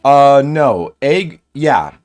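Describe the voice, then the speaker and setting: A man's voice: medium pitch, very animated, extremely slow, darkish tone, faint music behind. A man streaming a game and chatting casually, thinking aloud in a rambling way and answering chat messages.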